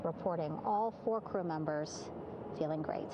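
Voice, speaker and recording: A woman's voice: low-pitched, unhurried. A woman giving live event commentary from aboard a ship at sea. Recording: loud vehicle-like ambient noise.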